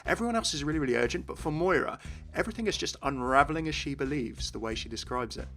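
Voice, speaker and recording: A man's voice: on the high side, lively intonation, fast talker, vocal fry, sharp tone. A man hosting a gaming video, narrating in an enthusiastic explainer style. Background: music.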